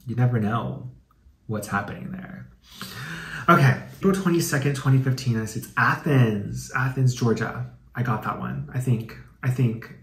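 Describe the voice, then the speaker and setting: Sassy man in an echoey room. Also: mid-range voice. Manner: sassy, campy, slangy and swearing. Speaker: YouTube host. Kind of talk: casual comedic dramatic reading with commentary.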